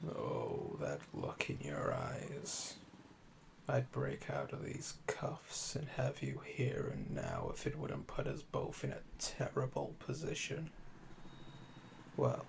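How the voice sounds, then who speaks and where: Man acting a calm menacing killer, flirtatious, very creaky, lively swooping pitch, breathy murmurs, intimate roleplay audio. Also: noisy background, exaggerated voice. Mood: teasing, seductive. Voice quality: gravelly, fry-laden, often dropping to breathy murmur. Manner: slow, teasing, seductive, drawling pet names. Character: calm, flirtatious yandere assassin in custody, smug and menacing.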